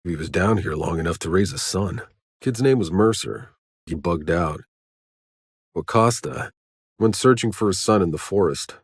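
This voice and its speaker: Hard-bitten video game soldier, deep husky voice, sardonic, terse, weary. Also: male voice, lively intonation, normal speed, dry recording, studio quality, heavily acted. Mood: somber, matter-of-fact. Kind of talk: scripted game dialogue.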